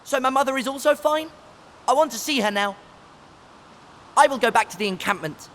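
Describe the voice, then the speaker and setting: A man's voice: very high-pitched, animated, a little fast, fairly bright tone, slight background noise, exaggerated voice. A man playing an earnest, polite, self-assured little boy in a video game, with simple, stiffly formal phrasing and long reverb around the voice. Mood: eager, grateful.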